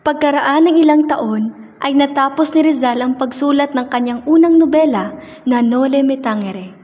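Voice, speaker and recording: High-voiced Filipina radio drama narrator. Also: female voice, moderate pace, a little mellow, highs cut off, slight background noise. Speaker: narrator and advertisement announcer. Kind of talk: scripted narration. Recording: reverberant recording with music behind.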